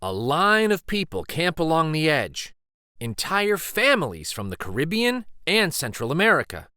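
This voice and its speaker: A man reading a children's picture book aloud with expressive, deliberate storytelling delivery. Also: a little high, smooth, no echo, studio quality.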